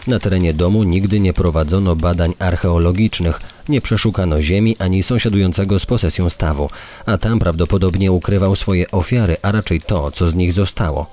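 An actor voicing a serious academic criminologist, reading a quoted explanatory statement for a documentary. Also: male voice, deep voice, a little fast, darkish tone, slight background noise, lightly acted. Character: male academic criminologist quoted on unsolved murders. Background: background music.

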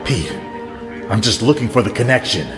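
Guy playing steady police lieutenant, low measured voice, echoey room with music under it. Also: natural voice. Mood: placating. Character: calm, steady male police lieutenant investigating a murder. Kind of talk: audio drama acting. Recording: echoey hall reverb, music behind.

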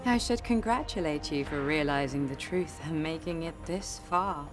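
An actress playing a bitter woman soldier turned enemy, slow and mocking. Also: lightly acted. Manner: slow, mocking, swinging pitch. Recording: game cutscene with music.

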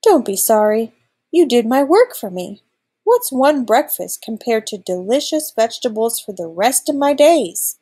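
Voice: mid-range voice, big pitch swings, unhurried, a little mellow, breathy edge. A woman voicing a cunning, grumbling old male wolf in a storybook. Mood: surprised, relieved.

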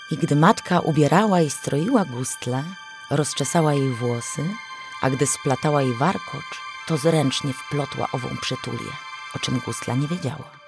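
Woman storyteller over prominent music. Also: deep voice. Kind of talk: expressive folk-tale storytelling narration.

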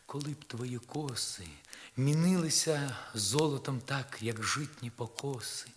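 A man's voice: medium pitch, measured pace, lightly acted. A man reciting dramatic verse as a melancholy, ardent knight in love, yearning and solemn, on a crackly vinyl record.

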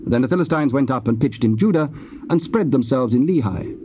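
A man giving a solemn, measured scripted narration of scripture. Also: mid-range voice, lively intonation. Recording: muffled, noisy old cassette transfer.

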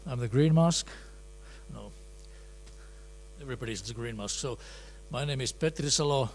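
A middle-aged man, a diplomat, introducing himself at a workshop in a small meeting room, relaxed and joking, into a handheld mic. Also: medium pitch, normal speed. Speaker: special envoy, diplomat.

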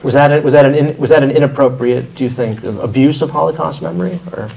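A man's voice: on the high side, fast talker, creaky, a little mellow, slight background noise, low-quality recording. Articulate man in his thirties questioning. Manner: articulate, lengthy questions with small restarts. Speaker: moderator. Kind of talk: interview questions at a panel. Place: formal room with audience. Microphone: table microphone.

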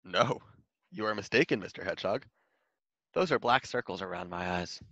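A man's voice: lowish voice, animated, moderate pace, gravelly, sharp tone, no echo, highs cut off, heavily acted. A man voicing a kindly, long-winded old grandma raccoon who explains and tells tales in a storybook. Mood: knowing.

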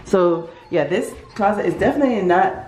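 A woman's voice: medium pitch, animated, fairly quick, a crowd in the background. A woman in her thirties, a mother giving a casual, chatty tour of an empty house from a handheld camera, laughing often. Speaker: vlogger, mother.

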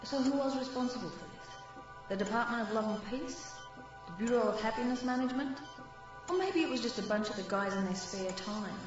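Woman acting a sardonic woman in deep echo. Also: lively intonation, a little fast, lightly acted. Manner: sarcastic, questioning. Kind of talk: audio drama acting. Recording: very echoey, distant, muffled, music behind.

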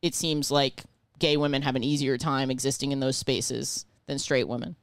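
A woman in her thirties with a deep voice, a comedian guest chatting casually on a podcast, brash and profane as she tells stories. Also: moderate pace, clean tone, no room sound. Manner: brash, profane, animated storytelling. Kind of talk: casual chat. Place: small living room podcast set. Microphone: handheld mic, headphones.

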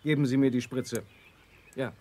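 An actor playing a careful scientist, a professor, in a science fiction radio play. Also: male voice, medium pitch, flat delivery, moderate pace, warm tone, slight background noise, close to an everyday voice. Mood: calm, careful.

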